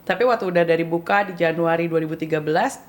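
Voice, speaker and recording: A woman's voice: on the low side, fast talker. Chatty Bandung woman telling her story. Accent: Bandung Indonesian. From Indonesia. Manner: chatty storyteller, laughs often, acts out quotes. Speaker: guest, business owner. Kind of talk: casual podcast conversation, mixing Indonesian and English.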